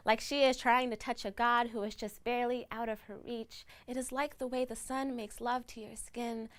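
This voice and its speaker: A woman reciting scripted poetic narration with a measured, lyrical delivery. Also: fairly high pitch, clear, resonant tone. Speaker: spoken-word narrator.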